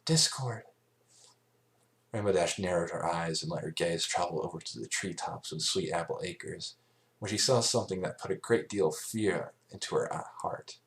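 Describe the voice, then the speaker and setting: Amateur male narrator, low voice, reading fan fiction aloud with occasional stumbles. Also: fairly even intonation, moderate pace, creaky, rough recording. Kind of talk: scripted story narration.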